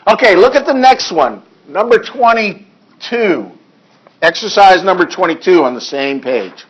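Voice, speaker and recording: A hoarse male accounting instructor in a classroom lecture, reading figures deliberately and adding dry asides. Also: high voice, lively intonation, lo-fi sound. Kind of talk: classroom lecture working through problems.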